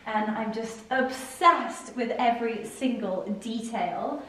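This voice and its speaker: A woman's voice: fairly high pitch, slow pace, bright, forceful tone. Effusive woman, distant roomy sound. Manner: effusive, enthusiastic. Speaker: lifestyle vlogger. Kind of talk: house tour vlog presenting. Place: large rooms inside a house. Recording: roomy, distant camera mic, noisy.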